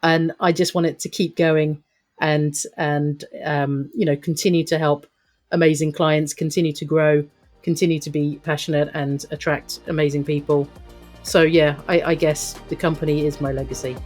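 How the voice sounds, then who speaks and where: Middle-aged English businesswoman, deep voice, relaxed chatty guest who laughs a lot and trips over her starts. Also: normal speed, soft background music. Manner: chatty, laughs often, many restarts. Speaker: company founder, guest. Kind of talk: casual interview chat.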